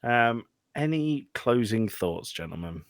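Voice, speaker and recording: A man's voice: medium pitch, animated, takes it slowly, no echo, clean studio sound. A man hosting a casual film review podcast, sweary and dryly joking. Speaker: podcast host.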